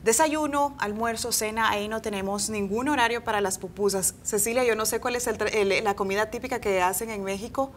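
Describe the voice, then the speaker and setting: A woman's voice: on the high side, a little fast, few pauses, a little hiss or hum. Chatty Salvadoran woman hosting a studio talk. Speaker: TV host. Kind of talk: studio interview. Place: indoor TV studio set.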